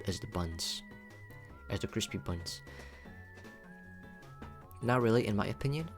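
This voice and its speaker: A man rambles casually to his livestream chat. He is playful and cutesy, often repeating his phrases, with music playing clearly behind him. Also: on the low side, a bit bright. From Philippines. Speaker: VTuber livestreamer.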